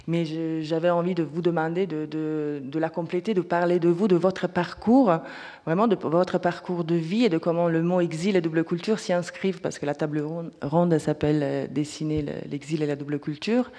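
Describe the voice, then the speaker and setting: Woman, panel moderator, dark mellow tone, halting questions, roomy lecture hall. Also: deep voice, fairly even intonation. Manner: stumbling, repeats words.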